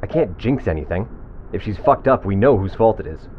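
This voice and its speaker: A man's voice: fairly low pitch, expressive, brisk, lo-fi sound, noisy background, lightly acted. An actor playing a bitter, hostile man on a spaceship crew who speaks bluntly and swears.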